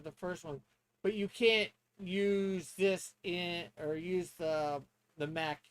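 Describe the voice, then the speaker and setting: A man in casual stream talk, sometimes reading rules aloud, hesitant and halting as he thinks out loud. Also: high voice. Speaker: streamer and tabletop player.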